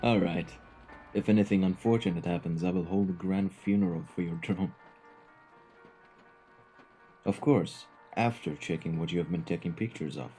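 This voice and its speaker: A man acting out a very low-voiced gentleman of the mafia for an audio roleplay. He is cultured and courteous, choosing formal, eloquent words in a measured way. A calm menace sits under the politeness, with faint music playing behind him. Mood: wry, composed.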